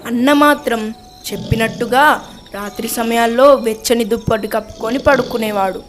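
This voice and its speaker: A woman's voice: a little high, normal speed, noisy background. A woman narrating a scripted moral story for children. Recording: music under the voice. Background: music.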